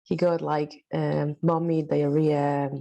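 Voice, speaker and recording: A woman's voice: sits low, flat delivery, takes it slowly, creaky, dark tone. Chatty woman from Mexico City. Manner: chatty, many fillers and laughs. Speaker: workshop participant. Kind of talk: casual chat.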